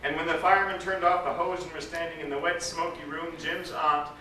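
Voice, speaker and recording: Echoey, bright-voiced man reciting theatrically. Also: fairly high pitch, little pitch movement, brisk. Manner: theatrical, lingering on phrases. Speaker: actor giving a reading. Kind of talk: dramatic storybook recital. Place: live event before an audience. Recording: roomy echoing live recording, distant microphone, faint noise.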